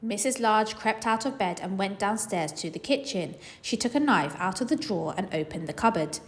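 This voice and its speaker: A woman reading a picture book aloud for children in an expressive storytelling style. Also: mid-range voice, fairly quick. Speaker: children's story reader and host.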